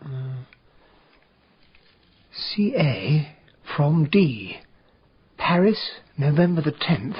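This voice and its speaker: Radio play sidekick, male: earnest, very breathy and husky, slow and animated, dull recording. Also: on the high side, full character voice. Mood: intrigued. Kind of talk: radio drama acting.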